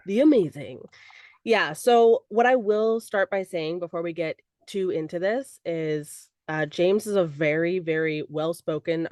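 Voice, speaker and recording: This hoarse, congested young woman chats loosely and teases as she co-hosts. Her voice sits on the low side for a woman and sounds close and dry, with no room around it. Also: darkish tone, dry recording. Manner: playful, teasing. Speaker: podcast co-host. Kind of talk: casual chat. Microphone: recording online.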